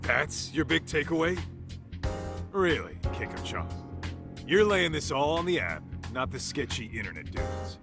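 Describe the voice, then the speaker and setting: A man's voice: a little high, very animated, a little slow, over music. An actor playing a brash, teasing tough-guy detective with an American accent in a video game dub. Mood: incredulous.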